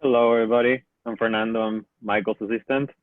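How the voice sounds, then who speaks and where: A man's voice: on the low side, fairly even intonation, fast, no room sound. Man from Peru over a tinny line. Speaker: studio assistant. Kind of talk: self-introduction on a call.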